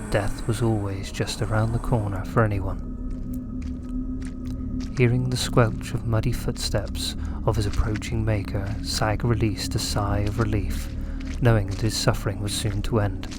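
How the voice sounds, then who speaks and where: Casting: male narrator, lowish voice, deliberate dramatic reading of scripted fiction with music prominent behind. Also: flat delivery. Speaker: narrator and writer. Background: loud music.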